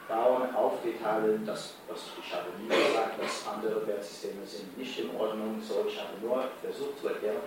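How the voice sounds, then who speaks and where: A man whose first language is not German, speaking haltingly on a discussion panel and searching for his words. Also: on the low side. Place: large hall at a community event. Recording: roomy hall sound from far off, noise.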